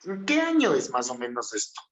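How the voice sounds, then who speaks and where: A man's voice: medium pitch, huge pitch swings, a little fast, dull audio. A man interviewing a guest, asking polite, slightly hesitant questions.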